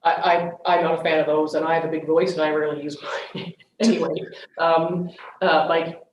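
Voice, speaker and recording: Frank higher-voiced man chairing, echoey. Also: flat delivery, brisk, highs cut off, a little hiss or hum. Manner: frank, opinionated, calls on others by name. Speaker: chair of a council meeting. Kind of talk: chairing a council discussion. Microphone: video conference mic.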